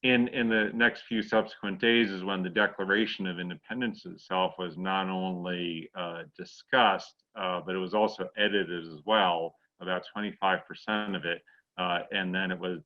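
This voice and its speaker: A man giving a halting, explanatory answer as a discussion guest, often repeating words as he goes. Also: low-pitched, dull audio. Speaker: guest author.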